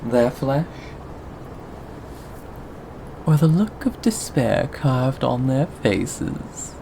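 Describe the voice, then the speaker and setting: A performer of unclear sex playing a sadistic, possessive cannibal captor in an ASMR roleplay, lingering on words with mock tenderness and soft menace. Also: medium pitch, lively intonation, slow, creaky, slight background noise, poor audio. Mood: gloating. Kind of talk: ASMR roleplay, scripted monologue to listener.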